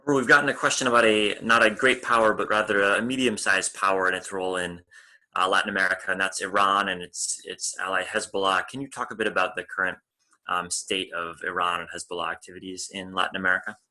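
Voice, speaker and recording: Guy moderating a panel, very sharp bright voice, talks fast. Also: sits low, fairly even intonation, low-quality recording. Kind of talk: relaying an audience question.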